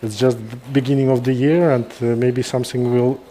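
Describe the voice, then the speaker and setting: A middle-aged man with a Kazakh accent gives informal live commentary as a surgeon, halting and pausing mid-sentence. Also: medium pitch, flat delivery, dark tone, slight background noise. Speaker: neurosurgeon presenting a live case.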